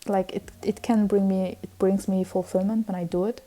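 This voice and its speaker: A woman's voice: medium pitch, flat delivery, normal speed, dark tone. A young woman in her twenties, a native Hungarian speaker, chatting casually on her vlog, rambling with lots of fillers and restarts. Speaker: vlogger. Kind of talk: casual chat.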